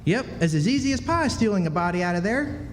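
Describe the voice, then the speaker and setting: A man's voice: very animated, quick pace. A man playing a skeptical but loyal country boy in a radio play, folksy and exclamatory. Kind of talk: stage radio drama acting. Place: live stage in a hall. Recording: reverberant hall, rumbling noise.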